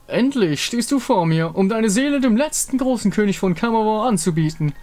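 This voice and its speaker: A man's voice: sits high, lively intonation, brisk, darkish tone, voice pushed up. A pompous undead king from a fantasy game, put on by a man, menacing and sick with love. He declaims theatrically in a raised male voice, with music underneath. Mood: grandiose. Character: undead lovesick king, grandiose and menacing villain.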